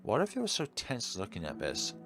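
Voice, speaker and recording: A man's voice: mid-range voice, huge pitch swings, a little fast, pitched above the performer's own voice. A man voicing an earnest, self-doubting teenage schoolgirl club president in a visual novel, with a dramatic delivery. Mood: anxious, frustrated. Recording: music behind the voice.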